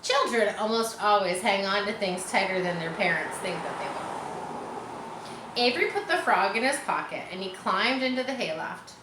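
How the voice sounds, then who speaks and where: A woman's voice: medium pitch, expressive, normal speed, echoey room, background noise. A woman reads a children's story aloud expressively, adding casual asides to listeners as she narrates. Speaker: storybook reader.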